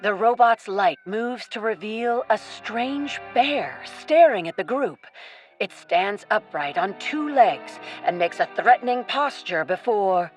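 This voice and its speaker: A woman's voice: medium pitch, animated, slow, faint music behind. A woman narrating an adventure radio play in a dramatic, scripted storytelling style.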